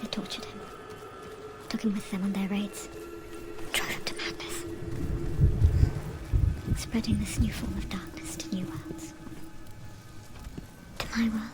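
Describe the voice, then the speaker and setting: A woman's voice: medium pitch, flat delivery, over loud music, close to an everyday voice. Whispering, eerie woman narrator played by an actress. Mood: hushed, grave. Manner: hushed, deliberate, ominous. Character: whispering female narrator voice in a warrior's head, eerie, knowing. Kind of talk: intimate whispered dramatic game narration.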